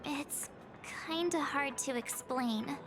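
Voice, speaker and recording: A woman voicing a bubbly, headstrong teenage girl adventurer in a video game, perky and expressive. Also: high-pitched, takes it slowly. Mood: flustered. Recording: game music behind the voice.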